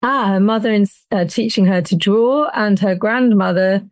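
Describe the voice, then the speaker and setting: Woman interpreter, brisk and plain, medium pitch. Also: dry recording.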